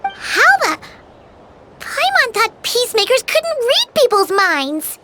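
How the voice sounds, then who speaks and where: A woman voicing a tiny, childish, excitable fairy companion girl in an anime game, with a squeaky, exclamatory delivery. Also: takes it slowly, background noise, exaggerated voice. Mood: indignant. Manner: speaks of herself in third person, exclamatory. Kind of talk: scripted anime game voice acting.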